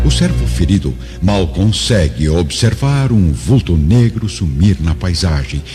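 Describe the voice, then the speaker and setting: Deep, dramatic Brazilian male narrator. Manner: solemn, formal.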